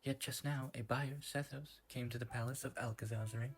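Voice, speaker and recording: A man's voice: medium pitch, steady tone, moderate pace, lightly acted. A man voicing a stern investigator in an amateur fantasy game dub, speaking in a formal, matter-of-fact way. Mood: stern, accusing.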